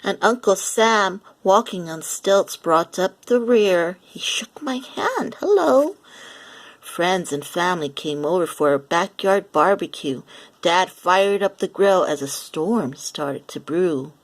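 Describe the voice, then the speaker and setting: A woman reading a picture book aloud for young children, animated and deliberate, acting out the lines as she goes. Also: lowish voice. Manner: animated, deliberate, acts out lines and sound effects. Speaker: children's story reader.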